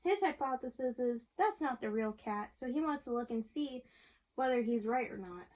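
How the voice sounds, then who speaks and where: A woman reading a picture book aloud to young listeners in an animated way, chatting and asking them questions as she goes. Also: on the high side, normal speed. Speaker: reader of a picture book to children. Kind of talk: read-aloud storytelling with chat to young listeners.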